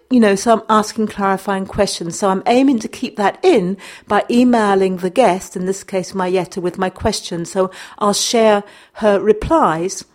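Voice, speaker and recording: A woman hosting a podcast about dreams, calmly explaining her method and reading a listener's email aloud. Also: medium pitch. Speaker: podcast host and dream interpreter. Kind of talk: solo podcast narration.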